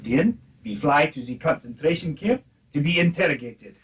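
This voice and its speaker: A man's voice: fairly high pitch, animated, normal speed, far from the mic, noisy background, lightly acted. An actor playing a fussy, easily confused henchman villain in a comic spy radio play. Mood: exasperated, shifty.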